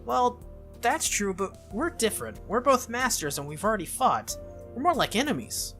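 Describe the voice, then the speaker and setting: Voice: mid-range voice, very animated, normal speed, a bit bright, soft background music, pitched above the performer's own voice. A game player voicing a wary, flustered teenage boy hero who protests in exasperation.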